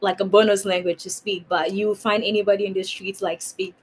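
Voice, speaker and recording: A young woman, speaking as a student in an online class discussion. She talks casually and keeps filling her sentences with "like". It sounds like a relaxed video call contribution. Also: medium pitch, a little fast, slight background noise, low-quality recording. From Ghana. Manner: chatty, with many "like" fillers.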